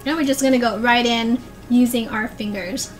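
A young woman with a high, bright-toned voice walks her viewers through a recipe in her home kitchen. She talks in an upbeat, instructive way, calling the audience "you guys". Faint music plays quietly beneath her. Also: steady tone, normal speed. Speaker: cooking vlogger. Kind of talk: cooking tutorial narration.

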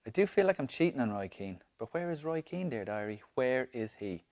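A man's voice: medium pitch, sing-song, brisk, darkish tone. An Irish man of about forty reading a book aloud dramatically. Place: indoor living room, seated in armchair.

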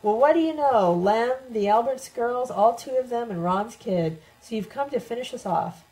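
A woman voicing a drunk, bitter older woman in an audiobook, taunting and loudly accusing. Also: on the low side, fairly quick, natural voice, rough recording. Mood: sneering. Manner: shouting, sneering, accusatory.

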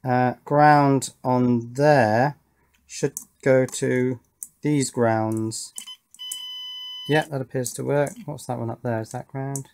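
A middle-aged man talks through hobby electronics at an indoor bench. His pitch is average for a man. He thinks aloud in a measured, casual way, often asking himself questions and then answering them. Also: fairly even intonation, vocal fry, a little mellow. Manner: musing, self-questioning, measured. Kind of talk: casual hands-on workbench commentary, thinking aloud.

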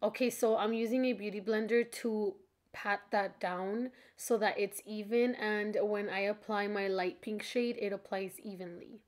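A woman's voice: medium pitch, fairly even intonation, moderate pace, a little mellow. A young woman giving a casual, chatty makeup tutorial voice-over in an informal, friendly-to-viewers way, sounding slightly stuffed up from allergies. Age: young adult.

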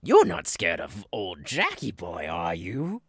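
A male voice actor playing a menacing, giggling, unhinged killer clown monster in a horror ASMR roleplay, raspy and gravelly, sliding between breathy whispers and cackles. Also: deep voice, huge pitch swings, slow pace, a bit bright, dry recording, studio quality, exaggerated voice. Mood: mocking, manic.

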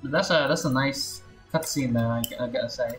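A woman's voice: extremely low pitch, normal speed, soft background music. A woman game streamer giving casual playthrough commentary.

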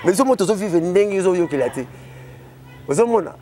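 A man's voice: high voice, expressive, warm tone, a little hiss or hum. A man in his thirties with a Congolese accent, an interview guest talking animatedly outdoors on a lapel mic. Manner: gestures constantly, rapid and emphatic. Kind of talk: animated chat mixing French and Lingala. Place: outdoors beside a parked car.

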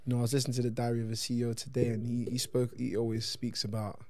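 A man's voice: medium pitch, level tone, very fast, warm tone, no room sound. A man hosting a podcast, asking questions in a casual, conversational interviewing style. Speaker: podcast host.

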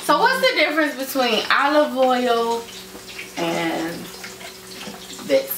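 Young woman joking in the kitchen, voice jumps around, far from the mic with water running loud. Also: high voice, big pitch swings, slow. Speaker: cooking guest. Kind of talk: casual chat. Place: small home kitchen. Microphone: camera mic from across the room. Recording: echoey kitchen, distant mic, loud noise.

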